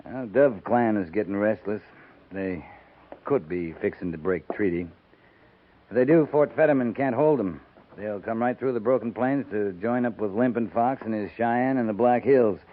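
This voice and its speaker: An American cavalry captain acted by a man in a 1950s radio western. His low voice is measured and authoritative, with terse phrasing and thoughtful pauses, heard through a thin, band-limited vintage recording. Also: phone-quality sound, natural voice. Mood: measured, businesslike. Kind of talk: 1950s radio drama acting.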